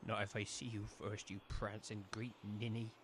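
A man's voice: lowish voice, flat delivery, normal speed, a bit bright, slight background noise, close to an everyday voice. A man voicing a sly, grumbling old villager with an English accent in a comic audio drama. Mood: grumpy. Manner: grumbling, muttering.

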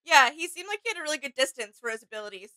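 Bright young woman, casual chat. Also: high voice, big pitch swings, racing. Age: 20s. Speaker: co-host of a reaction channel. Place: small home gaming room, indoors.

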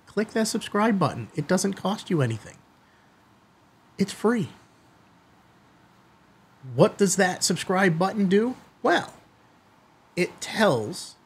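A middle-aged man hosting a hobby video, giving a product demonstration to camera in a husky voice. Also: high-pitched. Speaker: host of a ham radio video channel. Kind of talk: product test demo to camera. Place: small indoor studio room.

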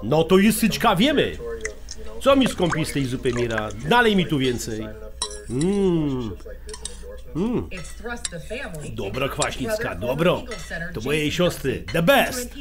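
An actor playing a blunt, bossy but generous older uncle with a Polish highlander accent in a radio drama. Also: male voice, sing-song, slow pace, pauses often, a crowd in the background. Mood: bossy, folksy.

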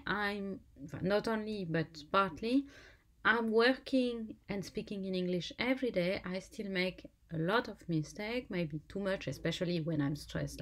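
A French woman with a French accent, explaining a topic to exam students as a legal English teacher, often pausing and restarting her sentences. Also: medium pitch. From France. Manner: halting, self-correcting, with frequent restarts. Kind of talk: lecture-style explainer over slides. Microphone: voice-over.

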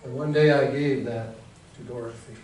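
Echoey older American pastor preaching. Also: male voice, medium pitch, fairly even intonation, normal speed, darkish tone. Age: 60s. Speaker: Lutheran pastor. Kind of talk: sermon. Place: church sanctuary in front of a congregation. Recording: very echoey church hall, distant mic, faint noise.

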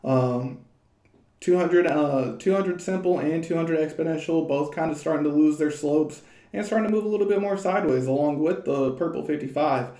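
A young man giving casual, rambling crypto chart commentary from a small room at home, his voice a little hoarse, with plenty of fillers and restarts. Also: on the high side, normal speed, warm tone, roomy sound. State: slightly hoarse.

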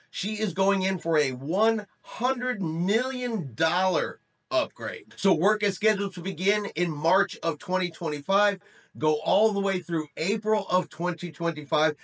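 A man's voice: high voice, fairly bright tone. A middle-aged man presenting a news update to camera in a conversational, emphatic way, as the host of an online channel. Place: small room indoors.

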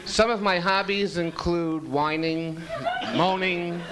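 A man's voice: high voice, takes it slowly. A middle-aged man from Queens, doing a self-deprecating stand-up set on a small club stage into a handheld mic, the audience laughing. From Queens, New York. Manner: self-deprecating, deadpan delivery. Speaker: stand-up comedian. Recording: loud noisy live club recording.

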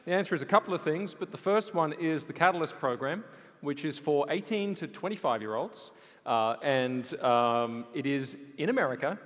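A man making a casual, chatty announcement to an audience in a large auditorium, with lots of fillers and quick asides. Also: on the high side. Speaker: event presenter promoting a program. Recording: reverberant hall, dull sound.

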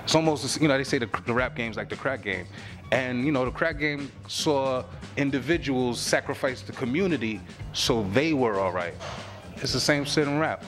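A man's voice: medium pitch, normal speed, a bit bright, faint music behind. A middle-aged man, a rapper, talking earnestly and reflectively in a casual interview, with a lot of "you know what I'm saying". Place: lounge room on a leather couch. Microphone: handheld mic held by interviewer.

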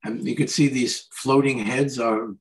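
An older man, an artist, talking informally and haltingly through slides of paintings on a video call. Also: medium pitch, steady tone, creaky, rough recording. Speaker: artist presenting his paintings. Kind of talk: informal slide commentary on art.

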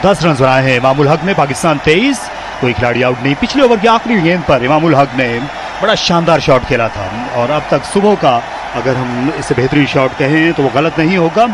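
A man with a Pakistani Urdu accent giving measured, formal ball-by-ball cricket commentary on radio. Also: fairly high pitch, expressive. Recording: noisy radio broadcast.

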